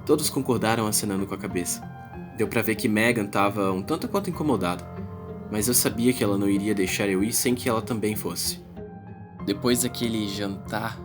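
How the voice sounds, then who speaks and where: Ator fazendo um jovem narrador brasileiro de voz grave. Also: male voice, on the low side, natural voice. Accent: Brazilian. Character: young man narrating his adventure, anxious yet eager. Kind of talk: first-person dramatized audiobook narration.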